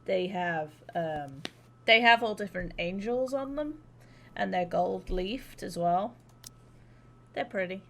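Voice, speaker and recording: A woman's voice: medium pitch, lively intonation, takes it slowly, vocal fry, a bit bright, a little hiss or hum. A woman livestreaming a game, chatting casually in an informal, rambling, sweary way. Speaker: live streamer.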